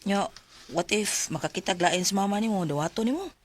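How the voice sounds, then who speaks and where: A woman plays an inquisitive young friend in a Bisaya radio drama. She sounds chatty and familiar, at a middling female pitch. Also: expressive, brisk, slight background noise, natural voice. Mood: curious.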